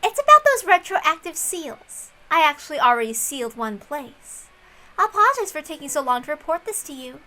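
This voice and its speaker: A woman's voice: high-pitched, sing-song, fairly quick, bright, forceful tone, exaggerated voice, rough recording. A woman voicing a smug, scornful young woman detective with mock-polite manners in a visual novel reading. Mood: smug, mock-apologetic. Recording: music under the voice.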